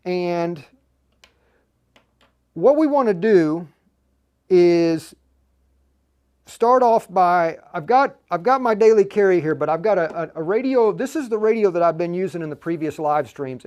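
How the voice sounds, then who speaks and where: High-pitched man hosting a halting live tutorial. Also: animated, darkish tone. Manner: halting, repeats and restarts phrases; informal, addresses viewers directly as "you guys". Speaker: livestream host and instructor. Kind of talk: live tutorial, casual talk to viewers.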